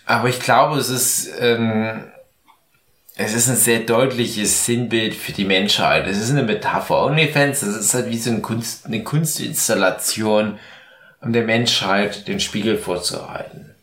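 A man's voice: lowish voice, measured pace, a bit bright. A man chatting casually and ironically as a comedic podcast host, rambling and drunk, in an echoey recording. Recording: echoey, roomy sound.

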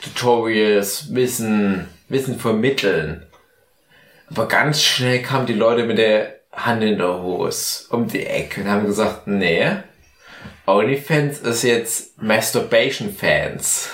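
Male podcast host, German, tipsy and jokey, unhurried casual banter in an echoey room. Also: lowish voice, fairly bright tone. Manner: rambling, joking, mock-hypothetical. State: drunk.

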